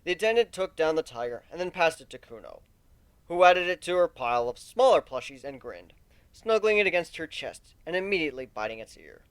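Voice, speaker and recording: A man reads a story aloud as its narrator. His voice sits a little high and moves expressively up and down. The sound is dry, with no room around it. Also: lively intonation, a little fast, clear, resonant tone, dry recording. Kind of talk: dramatic reading of a fan fiction story.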